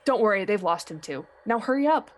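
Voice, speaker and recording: A woman's voice: medium pitch, lively intonation, fairly quick, lightly acted. A woman playing a stern, reproving senior angel in an old-time radio play. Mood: brisk, urgent. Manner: firm, reproving. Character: stern, brisk heavenly superior angel. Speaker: actor.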